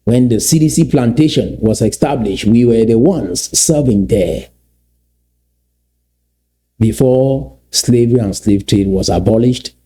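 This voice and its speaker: Dark-voiced Cameroonian man lecturing. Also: medium pitch. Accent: Cameroonian English. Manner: lecturing, asks rhetorical questions. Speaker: commentator. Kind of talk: commentary on played clips.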